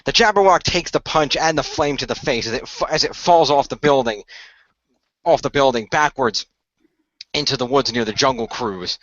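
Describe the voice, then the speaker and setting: A man improvising action narration for a radio play, haltingly and often repeating phrases as he goes. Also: mid-range voice, fairly bright tone, no echo, dull audio. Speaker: game-style narrator and player of a radio play.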